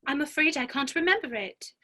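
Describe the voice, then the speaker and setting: A woman's voice: sits high, animated, brisk, pushed and bright. A woman playing a polite, earnest, curious little girl in a dramatic reading of a children's classic. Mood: polite, puzzled.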